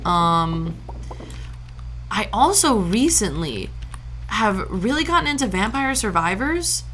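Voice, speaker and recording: A woman from Brazil chats through a farming game stream in an unhurried, slightly low voice. She goofs around with nonsense boops and mock-archaic jokes. Soft keyboard clicks sit faintly underneath. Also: slight background noise. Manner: playful, sings little nonsense sound effects; jokey mock-archaic asides. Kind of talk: casual gameplay chatter.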